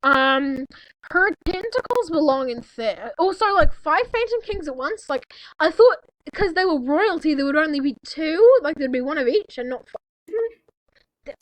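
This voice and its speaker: Young woman w a high voice reading fanfic out loud, super casual, keeps cutting in w comments, dry close sound. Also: animated, a little slow, no room sound, low-quality recording. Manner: informal, incredulous, breaks off into asides. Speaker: co-host reading fan fiction aloud. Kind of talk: casual read-aloud with mocking commentary.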